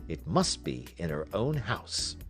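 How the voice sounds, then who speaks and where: A man voicing a brilliant, self-assured detective in an audiobook, brisk and commanding, with an emphatic, didactic delivery. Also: deep voice, huge pitch swings, soft background music, pitched below the performer's own voice, exaggerated voice. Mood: confident, reasoning.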